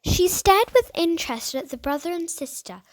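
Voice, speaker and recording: A woman reading a children's story aloud in an expressive narrating style. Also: very high voice, normal speed, low-quality recording. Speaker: storybook reader.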